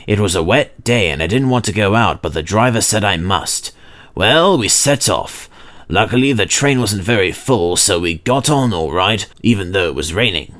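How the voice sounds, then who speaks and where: Guy doing proud chatty train character from a kids story, lowish voice, very up and down. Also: animated, moderate pace, a bit bright. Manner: boastful, dramatic storytelling. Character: proud, chatty male steam engine telling his adventures.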